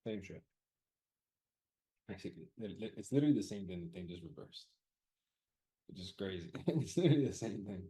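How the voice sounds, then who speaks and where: Low, mellow-voiced man thinking aloud. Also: lively intonation, a little fast, pauses often. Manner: thinks aloud, loose and profane, repeats himself. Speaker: instructor polling his audience about class times. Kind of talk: casual live chat.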